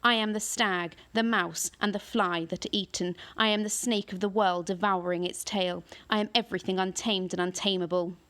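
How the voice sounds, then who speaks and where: A woman reading aloud, voicing a huge ancient male tree monster with slow, booming declamation. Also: fairly even intonation, lightly acted.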